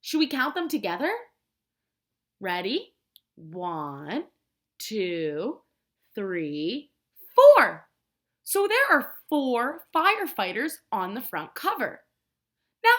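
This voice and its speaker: An American woman, a preschool teacher, reading a picture book aloud in simple, expressive language and prompting young children with questions. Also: medium pitch.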